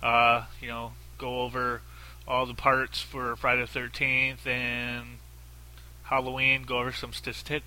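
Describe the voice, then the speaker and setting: A man's voice: medium pitch, monotone, unhurried, bright, forceful tone, rough recording. A man with a very nasal voice, hosting a solo podcast in a blunt, profane, rambling way with lots of fillers. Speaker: podcast host. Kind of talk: casual solo podcast talk.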